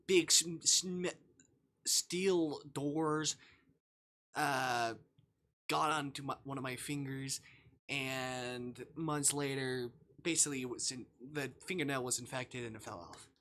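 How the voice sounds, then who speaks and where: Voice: medium pitch, fairly even intonation, takes it slowly, a bit bright. A streamer chatting casually and reading a visual novel aloud, rambling, jokey and easily sidetracked.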